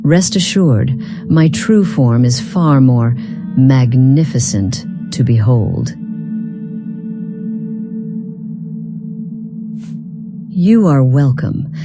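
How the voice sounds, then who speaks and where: A woman voicing an enigmatic, proud, long-lived part-human being in a fantasy game, speaking formally and deliberately in archaic phrasing. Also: very deep voice, expressive, music in the background, heavily acted. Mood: courteous, reserved. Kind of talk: scripted fantasy game dialogue.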